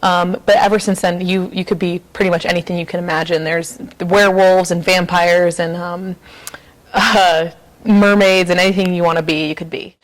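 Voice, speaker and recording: A woman giving an informal explanation as an interviewee, with halting, hesitant phrasing. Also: fairly low pitch, level tone, poor audio. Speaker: interviewee explaining a tabletop game.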